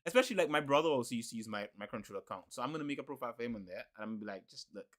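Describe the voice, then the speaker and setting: Man, casual podcast co-host, rambling, laughs a lot, mid-pitched. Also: expressive, racing, fairly bright tone. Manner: rambling, repeats himself, laughs often.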